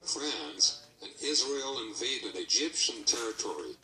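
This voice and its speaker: A man's voice: mid-range voice, animated, measured pace, bright, forceful tone, exaggerated voice. A man playing a proud Canadian statesman, reading a formal scripted first-person life story. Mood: measured, proud. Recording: distant mic, music behind.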